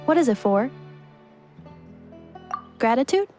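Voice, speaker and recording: A polite female game robot with a fairly high voice. The delivery is formal, precise and deadpan, with music clearly audible behind it. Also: slow pace. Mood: matter-of-fact. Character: polite, literal-minded female robot learning human ways. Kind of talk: video game voice acting.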